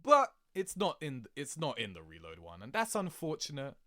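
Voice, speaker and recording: A man giving casual, rambling reaction commentary in an animated, slangy, sweary way, laughing easily. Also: medium pitch, normal speed, no room sound, clean studio sound. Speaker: video creator reacting to game cutscenes.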